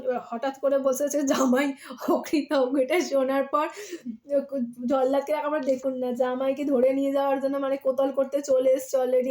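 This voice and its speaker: High-voiced woman storyteller. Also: dark tone. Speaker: storyteller and host of a story-reading channel. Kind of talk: expressive storybook narration and casual chat to viewers. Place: at home.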